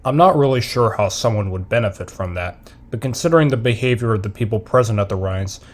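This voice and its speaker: A man plays a serious television newsroom anchor in an audio drama. His voice sits on the low side. He delivers scripted news formally and deliberately. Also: animated.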